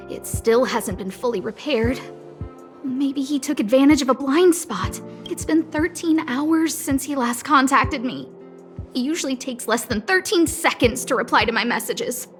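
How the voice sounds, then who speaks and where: Casting: cutesy, bossy young female game scientist, very high voice, unhurried delivery. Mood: urgent, worried. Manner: expressive, dramatic, slightly haughty. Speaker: voice actor in a video game. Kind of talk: animated game character dialogue. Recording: studio voice over soft game music.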